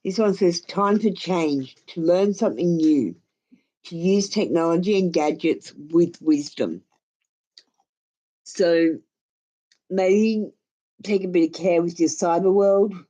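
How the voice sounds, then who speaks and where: A woman's voice: lowish voice, slow pace, pauses often, dark tone, highs cut off. A woman giving a casual live tarot reading, offering blunt, informal advice with some swearing. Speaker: tarot reader and live stream host.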